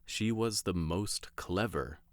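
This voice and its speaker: A man's voice: deep voice, slow, dry recording, studio quality. A man reading a children's story aloud as a scripted narration.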